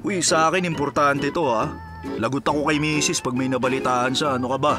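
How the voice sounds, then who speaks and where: A man's voice: medium pitch, fairly even intonation, brisk, over loud music, lightly acted. A Filipino man playing a charming, easygoing married police captain in a drama, with a folksy, teasing manner. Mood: teasing, resisting. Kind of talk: Filipino radio-play style drama acting.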